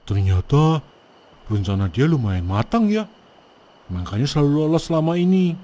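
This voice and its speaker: A man's voice: on the high side, very animated, normal speed, mellow. A man voicing a vengeful meatball-seller ghost in a comic horror cartoon, groaning and exclaiming indignantly. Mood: scheming. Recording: music playing behind.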